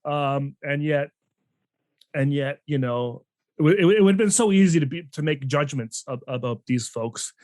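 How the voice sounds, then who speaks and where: A man's voice: a little high, lively intonation, normal speed, darkish tone, no echo. A man hosting a podcast interview, talking casually in a rambling way with many restarts and fillers. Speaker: podcast host.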